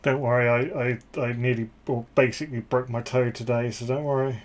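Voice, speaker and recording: Quiet stammering self-mocking man. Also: medium pitch, steady tone, brisk, slight background noise. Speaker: streamer and player. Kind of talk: casual chat between game sessions.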